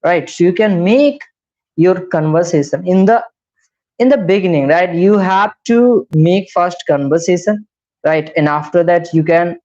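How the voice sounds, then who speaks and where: A man's voice: high-pitched, unhurried, darkish tone. Indian-accented young man teaching English. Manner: repetitive, explains step by step with frequent "right?". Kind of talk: live-streamed beginner English lesson. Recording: slightly dull lo-fi live stream audio.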